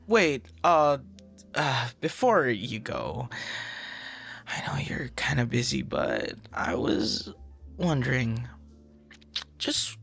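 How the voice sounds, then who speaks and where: Male actor as smitten, awkward young guy for ASMR romance roleplay: slow, halting delivery, gravelly creak, shy and flustered. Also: mid-range voice, a bit bright, exaggerated voice. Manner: stammering, bashful, awkward, breathy laughs and sighs. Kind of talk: ASMR boyfriend roleplay acting.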